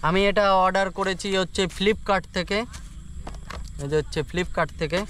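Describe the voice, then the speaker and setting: A young man with a slightly high voice talks in casual vlog style. He addresses the viewer like a buddy, with repeated filler phrases. The outdoor recording has some background noise. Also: fairly even intonation, unhurried, fairly bright tone. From rural India. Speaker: moto vlogger. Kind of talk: casual unboxing vlog chat to camera. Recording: noisy outdoor ambience.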